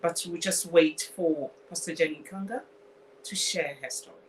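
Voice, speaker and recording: Low-voiced woman host, effusive. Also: unhurried, slight background noise, poor audio. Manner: effusive, repeating "I mean" and "you know". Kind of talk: interview hosting.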